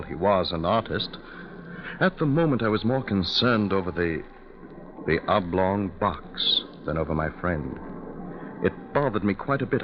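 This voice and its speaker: Casting: man playing inquisitive, formal gentleman storyteller for 1940s radio mystery; lowish voice, animated intonation, hissy dated sound. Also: lo-fi sound, lightly acted. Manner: measured, formal, expressive. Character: curious, concerned gentleman narrator, the artist's friend. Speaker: narrator and lead character.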